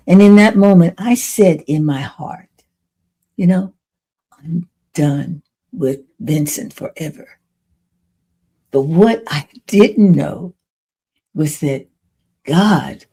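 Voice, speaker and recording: A woman in her eighties speaks as a podcast host sharing personal testimony. Her voice sits a little low and has a dark, relaxed tone. She goes extremely slowly, breaking her thoughts into short phrases with dramatic pauses. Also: breathy edge. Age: 80s. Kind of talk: personal testimony storytelling to listeners.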